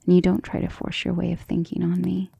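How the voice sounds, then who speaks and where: A woman voicing a centuries-old vampire lover in an ASMR romantic roleplay, soft, breathy and often whispering, intimate and gentle, with formal wording. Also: on the low side, completely flat delivery, fairly quick, no echo, exaggerated voice. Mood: admiring, affectionate. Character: centuries-old female vampire lover, tender, protective, self-assured.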